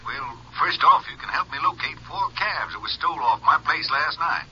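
Riled, animated country rancher. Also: male voice, on the high side, moderate pace, slightly rough, heavily acted. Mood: agitated, insistent. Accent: American rural Western. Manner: folksy, blunt, exasperated. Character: gruff, indignant rancher father, easily riled. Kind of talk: 1950s radio western acting. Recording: muffled, noisy 1950s radio recording.

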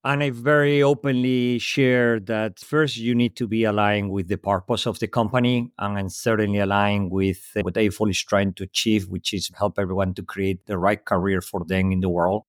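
A man's voice: lowish voice, flat delivery, normal speed, hardly any pauses, no room sound, clean studio sound. A man from Spain speaking English with a Spanish accent, a guest giving thoughtful, structured answers in a podcast interview. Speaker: guest executive.

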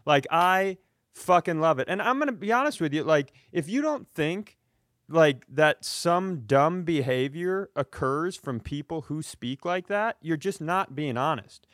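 A higher-voiced American man rants on a comedy podcast with very lively swings in pitch. He is indignant and emphatic, swearing freely, and the sound is dry and close. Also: a little slow, no echo. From rural Upper Midwest United States. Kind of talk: casual ranting podcast chat.

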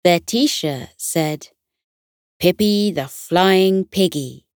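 Female narrator for children's bedtime tales, lowish pitch, very slow delivery, gentle and soothing. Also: no room sound, clean studio sound.

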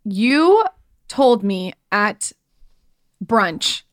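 A woman's voice: medium pitch, very animated, drawn-out words, soft background music. A woman co-hosting a podcast in casual conversation, informal, teasing and chatty. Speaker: podcast co-host. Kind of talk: casual chat.

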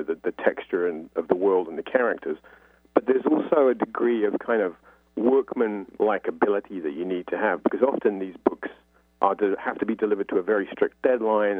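A man's voice: on the low side, husky, dry recording. A man speaking as an interview guest, explaining at length in a talkative, conversational way. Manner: talkative, explanatory, frequent "you know". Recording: thin phone-like line.

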